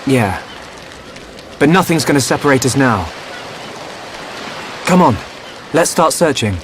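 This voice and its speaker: An actor playing an earnest, protective young hero in a video game cutscene, speaking with sincere intensity. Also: male voice, medium pitch, expressive, a little slow, slight background noise, close to an everyday voice. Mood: reassuring, determined.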